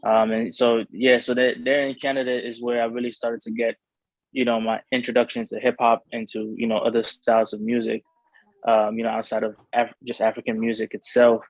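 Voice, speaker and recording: A young man from Cameroon, a rapper, speaks in a nearly monotone voice that barely rises or falls. He rambles through an interview with plenty of fillers and restarts. The sound is dry and close, with no room echo. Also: medium pitch, brisk. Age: young adult. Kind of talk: casual interview talk.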